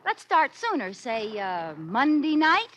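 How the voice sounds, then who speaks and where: An eager, nosy housewife from an old radio comedy. Her voice is high and swoops widely as she wheedles and hints theatrically. The old recording has a faint hiss. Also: sing-song, takes it slowly, a bit bright, exaggerated voice. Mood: hinting, eager. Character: eager, nosy housewife angling for party invitations. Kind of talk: 1940s radio situation comedy acting.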